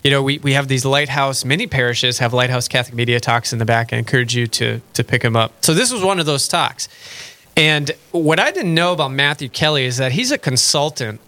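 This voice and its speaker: A man hosting a radio talk show speaks with a bright, ringing tone. He chats in a loose, friendly way and laughs easily at his own jokes. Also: medium pitch, expressive, brisk. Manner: chatty, self-deprecating, laughs easily. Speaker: radio host. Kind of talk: casual faith radio chat.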